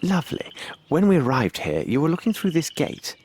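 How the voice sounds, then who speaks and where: An actor playing a composed gentleman detective in a video game, speaking formally and precisely with courteous authority. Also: fairly high pitch, huge pitch swings, brisk, breathy edge, full character voice. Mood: gently questioning. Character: composed, commanding, clever English gentleman detective, adult male. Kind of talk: video game cutscene acting.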